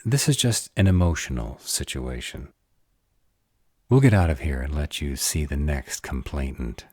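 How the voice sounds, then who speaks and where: Man playing a very deep, sardonic were-dragon. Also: expressive, a little slow, a little mellow, breathy edge, no room sound, clean studio sound, exaggerated voice. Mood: flustered. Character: male were-dragon, grumbling, sarcastic yet protective lover. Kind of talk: audio roleplay acting.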